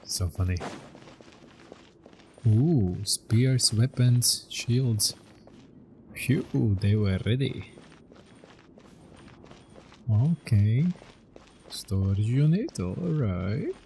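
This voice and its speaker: A man's voice: medium pitch, animated, drawn-out words, long pauses, slight background noise. A man, a gaming channel host, gives laid-back let's-play commentary with short reactions while losing his voice. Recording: commentary over loud game music.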